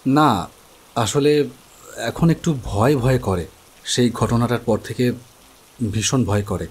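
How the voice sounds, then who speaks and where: A man playing an earnest, uneasy protagonist who narrates his own story in an animated horror drama. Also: mid-range voice, takes it slowly, slightly rough. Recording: music under the voice. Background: background music.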